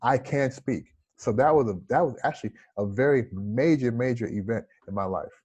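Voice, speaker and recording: A man in his forties speaks with a slight lisp, earnest and reflective as he tells his own story in an interview. His voice sits at an ordinary middle pitch. He talks over a video call from a room at home, with a lapel mic. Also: normal speed, a little mellow, husky. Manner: earnest, reflective, gestures with hands. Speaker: interview guest, entrepreneur.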